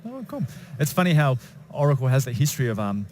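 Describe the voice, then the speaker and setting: Fast-talking male conference interviewer. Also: mid-range voice, very animated, darkish tone, slight background noise. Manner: quick and conversational, with frequent short acknowledgements. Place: conference lounge.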